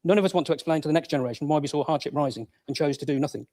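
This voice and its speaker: A man's voice: on the high side, rapid-fire, dark tone. A man speaking as a local councillor, giving a formal political speech to a council chamber.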